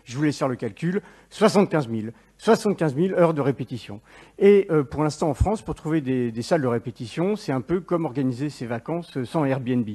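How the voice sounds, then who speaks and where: A man who is an actor pitching his startup on stage, joking with the audience. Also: animated, a little fast, darkish tone, husky. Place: event stage.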